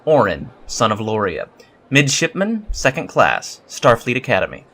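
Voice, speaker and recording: A man's voice: mid-range voice, huge pitch swings, moderate pace, a bit bright, heavily acted. A man playing a polite, precocious teenage alien cadet in a sci-fi audio drama, earnest and slightly hesitant. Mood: polite, good-humored. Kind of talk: scripted audio drama dialogue.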